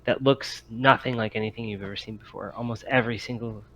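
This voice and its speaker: A man narrating a tabletop roleplaying game as game master, halting and deliberate. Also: fairly low pitch, fairly even intonation, a bit bright, rough recording.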